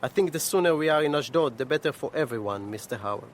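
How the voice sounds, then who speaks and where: A man's voice: mid-range voice, lively intonation, quick pace, a little hiss or hum, natural voice. A man playing a formal, firm young Israeli naval lieutenant in a radio drama, speaking precisely and officially. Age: young adult.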